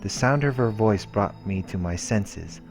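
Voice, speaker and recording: A man hosting a let's play, reading visual novel narration aloud in a measured way. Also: lowish voice, animated. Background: game background music.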